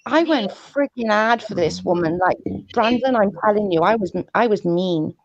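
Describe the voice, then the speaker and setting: A woman's voice: medium pitch, animated, normal speed, dry recording, muffled recording. A woman who stutters, talking earnestly and pleadingly over a video call. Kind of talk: heated casual chat.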